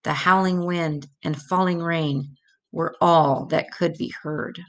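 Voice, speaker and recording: An older woman reads a children's picture book aloud as a storyteller. Her voice sits slightly low for a woman. She takes the verse very slowly, phrasing each line deliberately for young listeners. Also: steady tone. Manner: measured, deliberate read-aloud delivery. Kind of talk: scripted children's story reading in rhymed verse.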